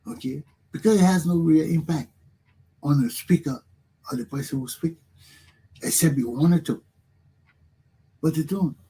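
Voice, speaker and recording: A middle-aged man with a Liberian accent delivers a motivational monologue on his own talk show. His voice sits a little high for a man and sounds warm and husky. He preaches with emphasis and circles back to repeat his points. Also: a little slow, long pauses, poor audio.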